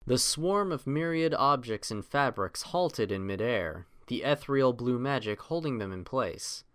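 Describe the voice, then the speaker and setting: A man reading scripted fiction as a narrator, in a measured, literary storytelling manner. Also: medium pitch, animated, a bit bright, clear, resonant tone.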